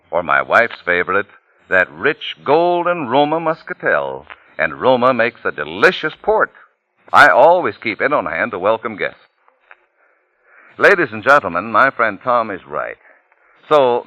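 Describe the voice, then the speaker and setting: A man delivering a polished, folksy sponsor pitch as a 1940s radio announcer. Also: pushed and bright, no room sound. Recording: dull vintage 1940s radio recording.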